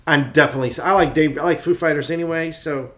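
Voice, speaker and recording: A man with a fairly high-pitched voice talks as a podcast co-host. His delivery is informal and enthusiastic, offering opinions freely as in a casual chat among friends. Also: fast, a bit bright.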